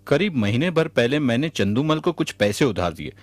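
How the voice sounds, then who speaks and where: A man's voice: medium pitch, big pitch swings, normal speed, dry recording, lightly acted. A man playing an earnest, good-natured butter merchant in a Hindi cartoon. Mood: earnest, pleading. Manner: earnest, respectful.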